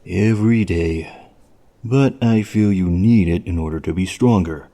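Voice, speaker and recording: A man acting as a stolid small-town police officer in an audio drama, measured and dryly understated, with a philosophical streak. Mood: earnest. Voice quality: low, mellow voice.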